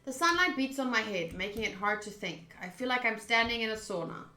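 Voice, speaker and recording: Bright chatty gamer woman, native afrikaans speaker, casual stream, game music behind her. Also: a little high, expressive, fairly quick. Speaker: streamer playing a visual novel. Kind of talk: casual livestream chat and reading game text aloud. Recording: roomy sound with music underneath.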